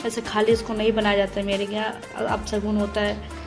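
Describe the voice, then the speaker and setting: Young housewife doing a voiceover of her chores, very flat monotone, plain and steady, music loud underneath. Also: mid-range voice, fairly quick. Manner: steady, matter-of-fact, recounting step by step. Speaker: homemaker vlogger. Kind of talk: voice-over narration of daily chores. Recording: voice-over with loud background music.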